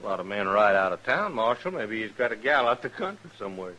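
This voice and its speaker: An actor playing a sly, rough-spoken cowboy villain with a folksy drawl in an old radio western. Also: male voice, on the low side, big pitch swings, quick pace, bright, forceful tone, heavily acted, poor audio. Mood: casual, probing.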